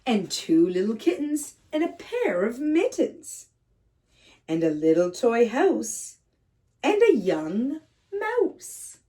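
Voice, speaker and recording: A woman reading a picture book aloud to young children as a teacher, speaking to them directly in simple, gentle phrases. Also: a little high, big pitch swings, slow. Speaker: kindergarten read-aloud teacher and host. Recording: slightly distant microphone.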